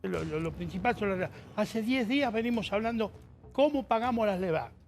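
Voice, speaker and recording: A man's voice: sits high, expressive, faint music behind. Guy on a tv panel, stumbles and repeats himself, voice goes up and down a lot. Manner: halting, repeats words, pleading. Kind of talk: television debate.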